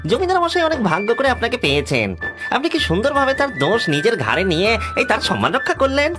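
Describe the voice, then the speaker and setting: A man's voice: extremely high pitch, big pitch swings, quick pace. A man playing an anxious, deferential estate steward in a cartoon, agitated and stammering. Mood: admiring. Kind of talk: Bengali cartoon voice acting. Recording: music behind the voice.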